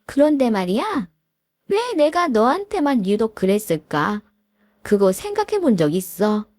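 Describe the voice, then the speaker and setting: A woman's voice: a little high, sing-song, measured pace, darkish tone, no room sound, studio quality. A woman voicing an arrogant former school bully, a spiteful woman who taunts and scorns her old classmate. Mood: sneering.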